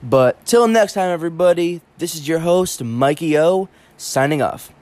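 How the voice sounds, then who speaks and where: A man's voice: on the high side, animated, moderate pace, a little mellow. A congested man hosting his own show, delivering an opinionated, emphatic sports monologue. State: congested from allergies. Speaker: podcast host.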